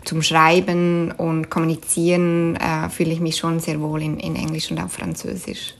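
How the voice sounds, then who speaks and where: A Swiss woman with a Swiss German accent, answering interview questions thoughtfully, often pausing with fillers and restarting sentences. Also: deep voice, completely flat delivery, creaky. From Switzerland. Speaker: interview guest.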